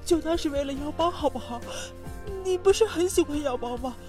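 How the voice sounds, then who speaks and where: A woman's voice: high-pitched, animated, music in the background. A woman acting a meek, guilty wife and mother in a melodramatic Chinese audio drama. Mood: desperate pleading. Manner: slow, imploring, meek.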